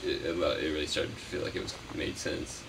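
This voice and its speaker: A man being interviewed as a puppeteer, chatting casually in a halting, rambling way that often trails off. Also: rumbling low, level tone, creaky. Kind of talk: casual unscripted interview chat. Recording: noisy, distant mic.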